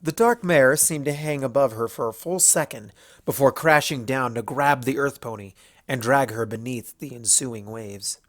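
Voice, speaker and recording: Guy narrating a fanfic, mid pitched voice, expressive storytelling, really dry close sound. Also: normal speed, no room sound. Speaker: fan fiction narrator.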